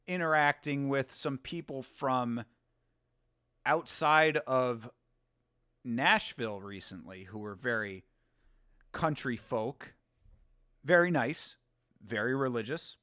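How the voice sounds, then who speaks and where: A man's voice: medium pitch, slow, pauses often, sharp tone, clear, resonant tone, no echo, studio quality. A man hosting a casual live watch-along, talking in a rambling, laid-back way, swearing, laughing often and answering viewer comments. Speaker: host.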